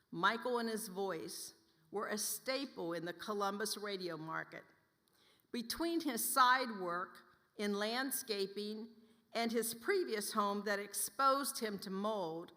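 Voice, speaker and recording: Slow, bright-voiced woman presenter. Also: medium pitch, lively intonation. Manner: measured, reading from a script. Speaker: event presenter. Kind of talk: scripted introduction. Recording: long hall reverb.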